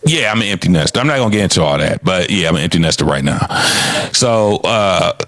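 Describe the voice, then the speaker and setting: Fifties male podcast co-host, swears freely and speaks frankly, with an animated, swinging delivery. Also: sits low, fast talker. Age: 50s. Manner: blunt and profane, speaks frankly. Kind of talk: casual podcast chat.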